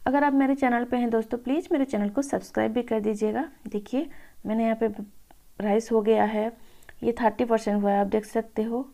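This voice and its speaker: Female home cook for informal recipe voice-over; gravelly fry, fairly even pitch, mellow tone. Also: a little fast. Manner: addresses viewers as friends. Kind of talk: informal cooking tutorial voice-over.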